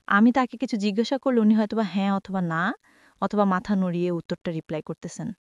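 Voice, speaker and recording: A woman's voice: mid-range voice, steady tone, a little fast, dry recording, highs cut off. A woman with a Bangladeshi accent telling a personal life story in a conversational way, mixing in English words and voicing reported dialogue. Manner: acts out reported dialogue while narrating; mixes English words into speech. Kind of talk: personal storytelling on a radio show.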